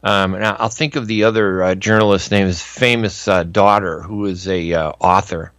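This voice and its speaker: A man's voice: low-pitched, fairly even intonation, moderate pace, fairly bright tone, no room sound. A man in his sixties hosting a radio interview, talking in a conversational way and often asking for agreement. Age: 60s. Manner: conversational, seeks agreement with tag questions. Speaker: radio host and journalist.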